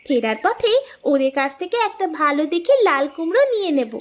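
A woman's voice: sits high, sing-song, a little fast. A woman voicing a confident, chatty eleven-year-old girl in a cartoon story, speaking in an eager, insistent manner. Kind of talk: animated cartoon storytelling dialogue. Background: background music.

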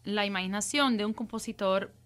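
A woman's voice: medium pitch, brisk, a bit bright. A woman in her thirties from Cuba with a Cuban Spanish accent, chatting as a guest in a radio interview and laughing easily. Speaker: opera singer guest. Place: radio studio.

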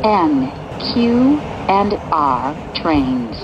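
A woman giving measured, formulaic recorded announcements as a subway train announcer. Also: sits low, sing-song. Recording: dull recording with clear train noise. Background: subway vehicle noise.

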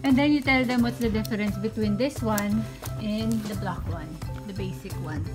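A woman's voice: mid-range voice, moderate pace. A woman with a Filipino accent chatting casually and playfully as a mother, mixing two languages in a teasing way. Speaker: vlogger and mother. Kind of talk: casual family chat, switching between Tagalog and English. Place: home living room and bedroom. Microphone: camera mic, behind or away from camera. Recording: music over talk, roomy, noisy, off-mic.

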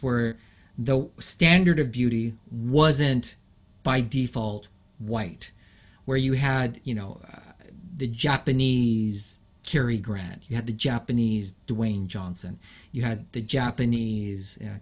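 A middle-aged man from England, an interview guest, talking thoughtfully with frequent fillers and pauses. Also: medium pitch. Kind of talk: reflective interview conversation.

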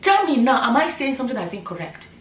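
A woman in her thirties with a Nigerian accent, giving animated opinion commentary straight to camera, full of rhetorical questions. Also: high voice, fast talker, slight background noise. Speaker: vlogger. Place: bright room indoors, seated in chair. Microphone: far camera. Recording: roomy echo, mic at a distance.

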